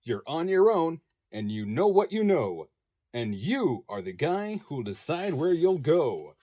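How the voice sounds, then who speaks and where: Man, highish voice, very lively intonation, slow sing-song children's book narration. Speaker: voice-over narrator. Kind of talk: expressive picture-book reading in rhyme.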